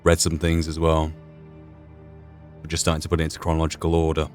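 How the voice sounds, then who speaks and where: A man with a very low voice narrates his playthrough in character. He muses aloud, repeating and rewording his thoughts, with faint music behind him. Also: monotone, quick pace, darkish tone. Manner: musing, repeats and rephrases himself. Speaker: gaming video host.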